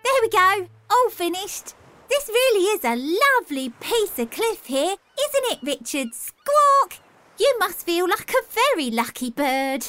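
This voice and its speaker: A woman putting on a high, bubbly voice for a chatty, friendly female bird. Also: very animated, a little slow, full character voice. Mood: cheerful. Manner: bright, bubbly, loud squawks.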